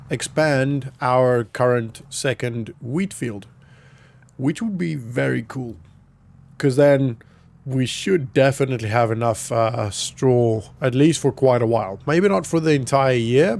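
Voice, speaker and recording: A man with a croaky voice giving relaxed, rambling commentary on a farming game, with many fillers. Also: medium pitch. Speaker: gaming YouTuber. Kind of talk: casual gameplay commentary.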